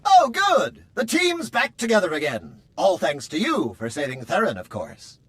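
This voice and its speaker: High, swooping, chirpy droid. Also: male voice, wildly swooping pitch, moderate pace, fairly bright tone, breathy edge, dry recording, full character voice. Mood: delighted. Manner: effusive, sing-song.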